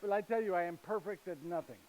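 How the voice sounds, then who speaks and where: An actor performing a first-person monologue as a gentle, humorous husband and father. He speaks broken, foreign-sounding English and keeps checking in with listeners through tag questions like "yes?". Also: sits high, fast talker, close to an everyday voice, poor audio. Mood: fond storytelling. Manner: chatty, storytelling, addresses listeners directly. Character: gentle, humorous male carpenter, husband and father, middle-aged. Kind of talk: live theatrical monologue.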